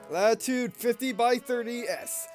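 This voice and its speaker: A man giving casual, halting commentary as he plays a game, rambling a little and repeating his phrases. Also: very high-pitched, faint music behind. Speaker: gameplay video host. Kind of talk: casual gameplay commentary, sometimes reading game text aloud.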